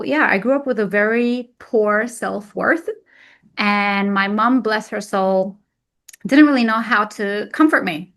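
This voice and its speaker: A woman whose first language is Arabic, a weight loss coach who grew up in Egypt, speaking openly and reflectively about hard memories as a podcast guest. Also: medium pitch. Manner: open and reflective, tells painful memories plainly. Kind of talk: candid personal storytelling.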